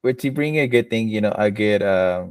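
A man's voice: lowish voice, normal speed, darkish tone, clear, resonant tone, dry recording, far from the mic. A young man hosting a podcast in a loose, rambling way, laughing often and restarting his sentences. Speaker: podcast host. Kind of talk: casual interview chat.

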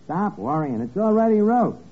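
A man's voice: high voice, moderate pace, vocal fry. An actor playing a bossy, slangy, scheming tavern man in old radio comedy, wisecracking and mangling his grammar. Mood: cocky, scheming. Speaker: comic lead of a radio sitcom. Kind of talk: 1940s-50s radio comedy acting. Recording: scratchy, noisy, narrow-band old radio recording.

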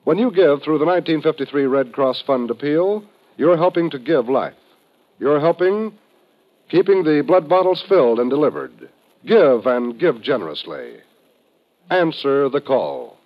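A man's voice: a little high, sing-song, moderate pace. A man announcing on 1950s radio, reading a script in a formal, earnest manner. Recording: muffled 1950s radio recording.

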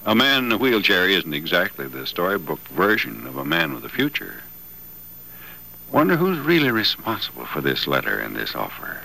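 Wry, skeptical builder, folksy old-time radio drama, low bright voice, vintage recording. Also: male voice, wildly swooping pitch, moderate pace, creaky, exaggerated voice, low-quality recording. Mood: moved, doubting. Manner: folksy, dry, musing. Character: modest, wry builder in a wheelchair, skeptical and teasing.

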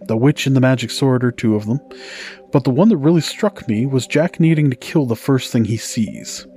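A man's voice: mid-range voice, moderate pace, dark tone. A man hosting a podcast, narrating folktales from a script in a storytelling style. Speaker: podcast host. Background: music.

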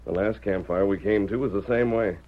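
An actor playing an earnest, commanding masked cowboy hero in an old-time radio western, reasoning aloud in crisp, formal sentences. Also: male voice, fairly low pitch, a little fast, lightly acted. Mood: earnest, pondering. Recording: tinny, narrow-band vintage radio recording.

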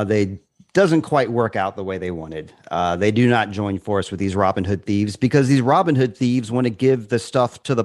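A man's voice: mid-range voice, no echo, studio quality. Chatty laughing male podcast host. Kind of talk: casual movie recap chat.

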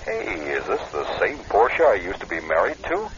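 An actor playing a blunt, protective ex-husband, a doctor, in a radio drama, giving wry and brisk advice. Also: male voice, low voice, wildly swooping pitch, background noise. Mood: blunt, concerned. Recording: dull lo-fi radio recording.

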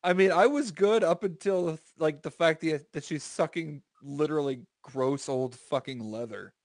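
A man's voice: a little high, normal speed, a little mellow, dry recording, clean studio sound. Man reading aloud on a comedy podcast. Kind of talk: comedic dramatic reading with banter.

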